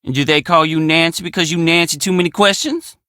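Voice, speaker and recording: A man's voice: on the high side, fairly quick, pushed and bright, clear, resonant tone, dry recording, studio quality. An actor playing a friendly, plainspoken young country handyman with an American drawl in a video game. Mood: wry, sincere. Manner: folksy, plainspoken country phrasing. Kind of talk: video game character dialogue.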